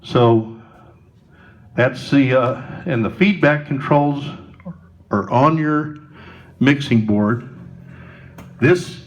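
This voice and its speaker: A man giving a slow, plain hands-on demonstration of setting up a sound system indoors, pausing often with fillers. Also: medium pitch. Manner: unhurried, plain instructions with frequent pauses and fillers. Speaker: ministry volunteer demonstrating sound gear.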